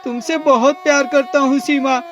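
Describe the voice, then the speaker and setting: A man's voice: extremely high pitch, steady tone, normal speed. A man playing a suspicious, hot-tempered husband in a melodramatic cartoon moral story, speaking emphatically. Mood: pleading remorse.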